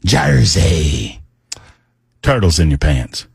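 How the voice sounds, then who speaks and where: A man co-hosting a radio talk show, joking and bantering with quick back-and-forth. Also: sits low, sing-song, creaky, breathy edge, no echo, clean studio sound. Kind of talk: casual chat.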